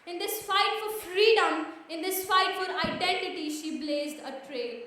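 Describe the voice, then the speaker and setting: A very high-voiced woman performs slam poetry in a dramatic, declamatory way. She takes her time with the phrases, and the sound is heavily echoed, as in a big reverberant room. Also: measured pace, pushed and bright. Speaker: slam poet. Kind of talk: performed spoken-word poetry recital. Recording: very echoey room, mic far off.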